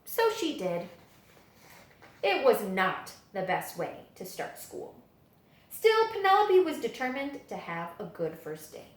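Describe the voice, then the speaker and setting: A woman reading children's picture books aloud as a story-time librarian, with dramatic, expressive storytelling. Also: fairly high pitch, slow. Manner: dramatic, animated storytelling delivery. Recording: echoey room.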